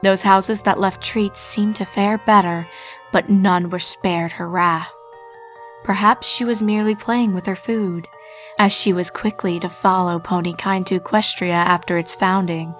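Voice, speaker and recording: A woman reading a dark story aloud in a steady, solemn voice at a middling pitch. Faint music plays under her narration. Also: measured pace.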